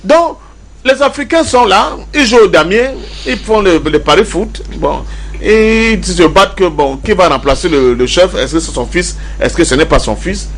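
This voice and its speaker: A man's voice: sits high, animated, normal speed, a little hiss or hum. A man from Cameroon with a Cameroonian accent giving political commentary as a debate panelist, emphatic, repeating key phrases and asking rhetorical questions. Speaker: panelist in a pan-African debate.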